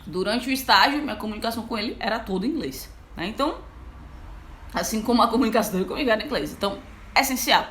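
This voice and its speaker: A chatty young woman from Brazil, an engineer, talks straight to her viewers in a lively, informal way, leaning on filler words as she goes. Her voice sits a little high for a woman. Faint noise hums underneath. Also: racing. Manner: lively, chatty, frequent fillers like "então, assim". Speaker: engineer and online mentor. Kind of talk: casual live talk to an audience.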